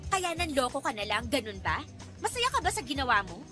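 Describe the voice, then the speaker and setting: A woman's voice: high-pitched, very animated, a little fast, music in the background. An actress playing an angry, scornful young woman who confronts and scolds a suitor in a Philippine radio drama. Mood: indignant, scornful.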